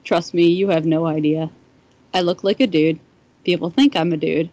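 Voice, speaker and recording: A woman's voice: fairly low pitch, fairly even intonation, normal speed, warm tone, no room sound, highs cut off. A woman reading a first-person story aloud on a podcast, sometimes stumbling over the words. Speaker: fan fiction podcast reader.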